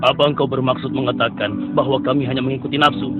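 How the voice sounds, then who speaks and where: A man's voice: medium pitch, little pitch movement, fast, narrow, tinny audio, over music, lightly acted. A man voicing a stubborn male townsman who scorns and threatens the prophet in a dubbed cartoon. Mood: scornful.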